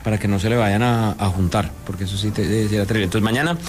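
A man's voice: on the low side, steady tone, fast, soft background music. A man with a Colombian accent hosting casual live sports talk on the radio.

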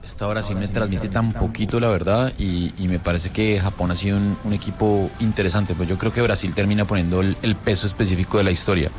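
Male panelist for casual sports chat, lowish voice. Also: steady tone, fast talker, few pauses, over music.

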